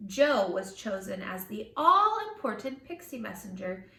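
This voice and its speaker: A woman narrates a children's storybook expressively. Her voice is bright and swings widely in pitch, and the room echoes around her. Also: sing-song, unhurried. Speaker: storybook reader. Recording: echoey room, distant mic.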